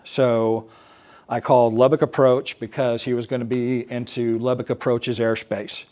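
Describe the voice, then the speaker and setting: American man telling a personal story, haltingly. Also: mid-range voice, level tone, warm tone. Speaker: speaker, air traffic controller recounting an experience. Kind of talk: personal storytelling talk to an assembly.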